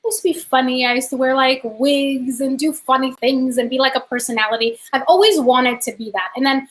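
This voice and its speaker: High-voiced chatty young woman. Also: brisk. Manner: chatty, animated, upbeat. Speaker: co-host, art business owner. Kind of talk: casual video call chat. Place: home room. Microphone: webcam on a video call.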